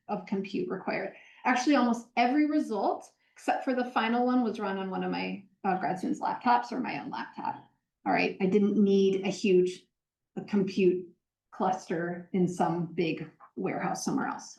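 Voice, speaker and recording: Woman, professor, lecture Q&A, energetic, explanatory, self-correcting, roomy hall echo. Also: medium pitch, brisk, vocal fry. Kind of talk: lecture Q&A answers.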